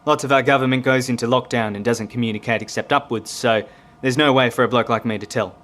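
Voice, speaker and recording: A man's voice: mid-range voice, steady tone, fast, a bit bright, natural voice. An Australian-accented man playing a courteous, grave navy commander in an audio drama, polite and plain-spoken. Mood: matter-of-fact.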